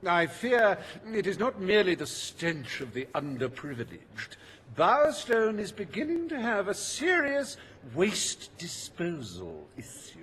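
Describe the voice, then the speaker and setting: An actor voicing a foppish, greedy, scheming industrialist in a video game, pompous and drawling, with oily contempt for the poor. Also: male voice, high-pitched, wildly swooping pitch, a little slow, fairly bright tone, in a large hall, a little hiss or hum, exaggerated voice. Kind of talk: theatrical video game dialogue.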